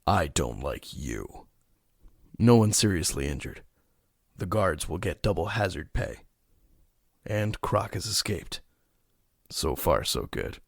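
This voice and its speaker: A man as a deep gravelly brooding vigilante. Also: lively intonation, slow, long pauses, no room sound, clean studio sound, exaggerated voice. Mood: stern, terse. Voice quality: gravelly, husky. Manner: terse, brooding, stern. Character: grim, brooding male masked vigilante detective. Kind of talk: audio drama narration and dialogue.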